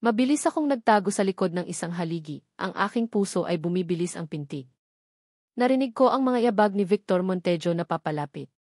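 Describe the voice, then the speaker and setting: A woman's voice: on the low side, lively intonation, brisk, darkish tone, no echo, studio quality. A woman with a Filipino accent narrates a scripted first-person romance story in an emotive way, setting short phrases apart for drama.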